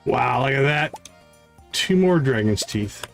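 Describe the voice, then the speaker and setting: A man's voice: mid-range voice, lively intonation, takes it slowly. A man casually commentating his live gameplay, talking to himself in short remarks and repeating words as he thinks. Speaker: game streamer. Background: music.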